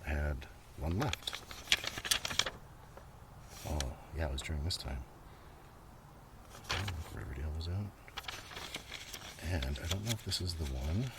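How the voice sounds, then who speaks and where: A man with an extremely low, crackly, fry-heavy voice rambles quietly in an ASMR show-and-tell. He murmurs at an unhurried pace and sometimes slips toward a whisper. He is recorded outdoors, with clear breezy ambient noise around him. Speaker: ASMR video host.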